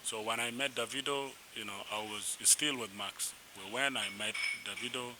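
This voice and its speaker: A young man from South Sudan, a musician being interviewed, telling his story in a rambling, animated way with restarts. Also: mid-range voice, moderate pace. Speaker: musician guest. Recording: noisy background.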